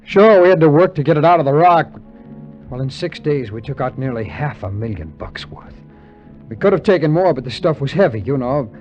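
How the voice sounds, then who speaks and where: A man's voice: on the high side, lively intonation, brisk, muffled recording, natural voice. A man playing a cynical, slangy tough-guy adventurer narrating his tale in 1950s radio drama style. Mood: wry, reflective. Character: Johnny, hard-boiled cynical adventurer telling his gold-hunting tale. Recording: vintage 1950s radio recording, music underneath.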